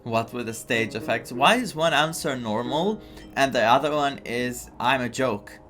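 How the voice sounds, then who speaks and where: A man from Greece comments casually as he plays a video game. His voice is medium in pitch, excitable and full of thinking aloud. Soft music sits in the background. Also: moderate pace. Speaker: gaming streamer. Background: faint game music.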